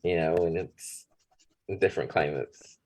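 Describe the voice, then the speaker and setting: A man leading a drawing demonstration, talking in a relaxed way as he draws. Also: low voice, normal speed, poor audio. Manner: relaxed, talks while drawing. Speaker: art instructor. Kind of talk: drawing demonstration, thinking aloud.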